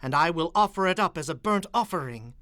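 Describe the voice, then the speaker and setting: A man's voice: on the high side, animated, fairly quick, sharp tone, no room sound, clean studio sound. A man playing a commanding Israelite war leader and anguished father in a dramatized audio Bible, speaking in formal, emphatic declamation. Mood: solemn vowing.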